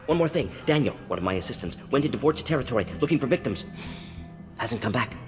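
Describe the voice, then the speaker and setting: A man voicing a brisk, brainy, restless alien scientist in a video game, talking in clipped fragments as if thinking aloud. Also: mid-range voice, expressive, in a large hall, music in the background, close to an everyday voice. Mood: brisk, focused. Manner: clipped telegraphic sentences, muttering deductions aloud.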